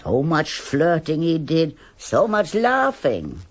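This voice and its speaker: A woman's voice: deep voice, wildly swooping pitch, slow, full character voice. An actress playing a stubborn, judgmental older woman in a radio drama. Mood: reminiscing, disapproving. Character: stubborn, defensive old German woman, gossipy neighbour.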